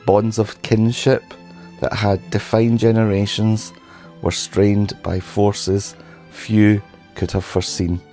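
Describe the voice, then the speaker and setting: A man with a sore, hoarse throat narrating a history documentary in a solemn, measured way. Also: fairly low pitch, level tone, mellow, soft background music. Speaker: history channel host.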